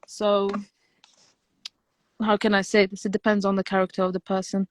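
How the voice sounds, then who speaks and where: A woman whose first language is Romanian speaks in a soft, hesitant voice, often restarting her sentences. Her pitch sits in the middle for a woman. The sound is dry and close, with no room around her. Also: monotone, normal speed, no room sound, rough recording. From Algeria. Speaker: guest on a live stream. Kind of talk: casual livestream chat.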